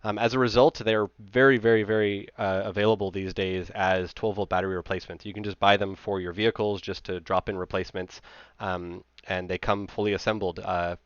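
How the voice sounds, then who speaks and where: A man in his thirties with a low-pitched voice explains technical material as a presenter. His delivery is informal and conversational. The recording is dry and close, with slightly dull, lo-fi audio. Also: flat delivery, fairly quick, smooth, no echo.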